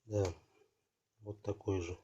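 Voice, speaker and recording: A man hosting a casual unboxing video, talking haltingly and thinking aloud while his voice is affected by a cold. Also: fairly low pitch, little pitch movement, lo-fi sound, very noisy. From Russia. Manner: halting, thinking aloud, many fillers like "so" and "there". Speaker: channel host unboxing parcels.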